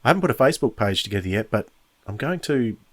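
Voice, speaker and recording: Low-voiced enthusiastic male podcast host. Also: sing-song, fast. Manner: enthusiastic fan talk. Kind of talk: casual review podcast monologue.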